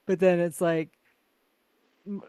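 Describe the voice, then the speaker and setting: A woman's voice: on the low side, flat delivery, slow pace, mellow, smooth, no echo. A woman hosting a podcast, chatting casually with frequent laughs and lots of filler words. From Canada. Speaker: podcast host.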